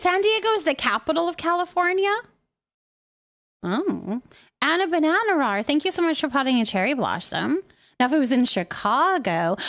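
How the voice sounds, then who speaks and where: A woman with a high voice that swings up and down as she talks. She streams live, rambling along casually and chattily as she answers her viewers, with a giggly manner. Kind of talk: casual livestream chat, reading and answering viewers.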